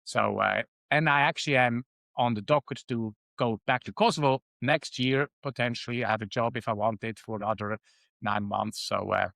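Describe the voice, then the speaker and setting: A man's voice: medium pitch, normal speed, gravelly, fairly bright tone, no echo. A man in his fifties from Switzerland with a Swiss accent, a guest talking reflectively with frequent ums and uhs. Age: 50s. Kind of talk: casual interview talk.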